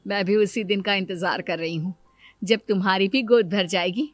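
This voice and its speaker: A woman's voice: medium pitch, fairly even intonation, moderate pace, close to an everyday voice. A woman voicing a scheming, persuasive young mother and sister-in-law in a cartoon moral story, with a coaxing, insistent manner. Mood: sweet. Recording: music under the voice.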